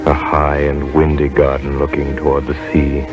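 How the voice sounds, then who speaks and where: Deep-voiced, earnest doctor, 1930s radio drama. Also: male voice, completely flat delivery, moderate pace. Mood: grieving, numb. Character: earnest, devoted male research doctor, grave and tender. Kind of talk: 1930s American radio drama acting, dialogue and first-person narration. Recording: vintage 1930s radio broadcast with music.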